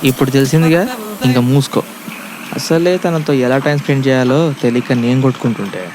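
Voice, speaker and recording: A man's voice: mid-range voice, fairly quick, background noise, lightly acted. A man playing an anxious, lovestruck young college student in a comedy audio drama, bantering in exasperated slang. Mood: exasperated, defensive. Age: young adult.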